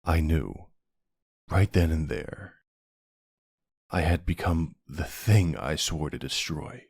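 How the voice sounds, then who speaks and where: Brooding ancient vampire hunter, played by a man, gravelly and slow, close dry sound, deliberate ASMR roleplay delivery. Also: very deep voice, darkish tone, no echo, heavily acted. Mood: somber, regretful. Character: brooding centuries-old male vampire who hunts vampires. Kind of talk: ASMR audio roleplay, scripted dramatic monologue.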